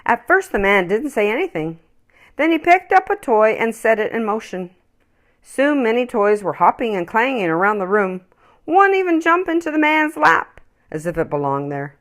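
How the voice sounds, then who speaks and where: A woman reading a children's story aloud with expressive, emphatic, dramatic phrasing. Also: medium pitch, moderate pace.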